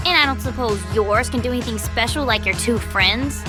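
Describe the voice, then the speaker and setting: A woman's voice: on the high side, a little fast, natural voice. A woman playing a sassy, impatient young girl hero in an amateur cartoon comic dub. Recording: music under the voice.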